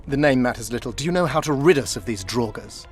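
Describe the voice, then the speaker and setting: Smug court mage, played by a man, medium pitch, pompous silky courtesy, fantasy game dialogue over faint music. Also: animated, a little fast, close to an everyday voice. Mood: sceptical. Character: smug, condescending, scholarly male court sorcerer. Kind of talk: video game dialogue acting.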